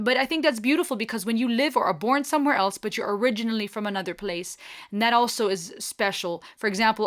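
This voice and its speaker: A woman's voice: mid-range voice, a bit bright. A bubbly, talkative woman from Germany hosting a reaction video, musing thoughtfully as she comments.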